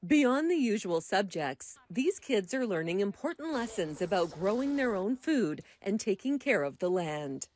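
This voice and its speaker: A woman reporter reading a scripted news voice-over in a clear, measured way. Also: mid-range voice, very animated, darkish tone, a little hiss or hum.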